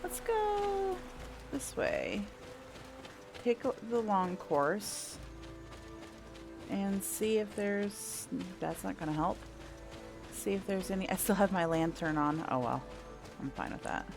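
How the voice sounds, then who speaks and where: A woman's voice: mid-range voice, big pitch swings, slow pace, long pauses. A woman giving casual gameplay commentary, rambling and thinking aloud with the odd mild swear. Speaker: gameplay streamer. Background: video game music and sounds.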